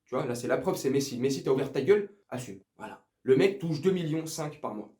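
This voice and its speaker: A man's voice: mid-range voice, expressive, fairly quick, mellow. A man giving a casual football rant to viewers, crude, slangy, teasing and confrontational. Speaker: football fan video host. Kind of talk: casual ranting commentary to viewers. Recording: echoey room.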